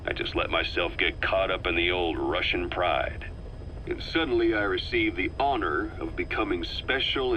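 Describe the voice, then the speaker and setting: An actor voicing a worldly, self-assured military interrogator in a video game, wry and loyal, telling things in a measured, explanatory way. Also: male voice, sits low, pushed and bright, a little hiss or hum, low-quality recording. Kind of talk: scripted video game voice acting.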